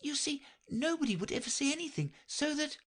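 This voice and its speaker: A woman's voice: high-pitched, lively intonation, a little fast, voice pushed up. A woman voicing a polite, earnest young girl with a slightly timid manner. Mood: earnest girlish.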